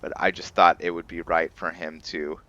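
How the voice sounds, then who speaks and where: A man's voice: sits low, flat delivery, moderate pace, pushed and bright, highs cut off. A man giving casual gameplay commentary as a let's player, thinking aloud in short bursts.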